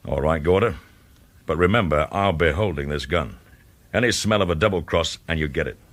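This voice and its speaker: A man's voice: extremely low pitch, fairly quick. An actor playing a big, suspicious armed man in a radio thriller, speaking in a curt, sceptical, threatening way. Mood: menacing.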